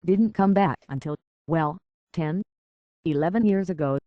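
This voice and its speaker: A man's voice: on the high side, measured pace, no echo, lo-fi sound, close to an everyday voice. An actor playing a plain-spoken, folksy island barkeep in a video game, blunt and concerned for his own people. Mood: opinionated. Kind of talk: video game character dialogue.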